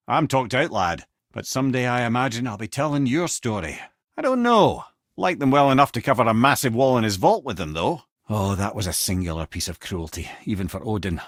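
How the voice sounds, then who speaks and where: A learned old Scottish storyteller for a video game. His pitch swings widely as he moves through wry, wordy explanations and tales. The voice sits at a medium male pitch and is recorded very dry and close, with no room sound. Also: dry recording. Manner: wry, animated, erudite storytelling.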